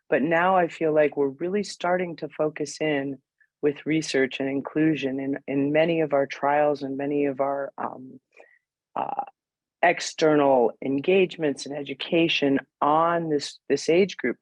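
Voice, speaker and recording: A former clinician, a woman with a hoarse voice, speaking in a measured, agreeable way in an online meeting. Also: low-pitched, darkish tone, no room sound, lo-fi sound. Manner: measured, agreeable, with many fillers. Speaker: former clinician on a global health team. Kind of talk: video call meeting discussion. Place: home room indoors.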